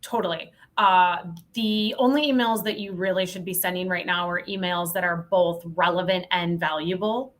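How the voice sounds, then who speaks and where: A woman's voice: on the low side, fairly even intonation, moderate pace, few pauses, fairly bright tone. A woman talking candidly and emphatically as a podcast guest, giving informal expert advice in a casual conversation.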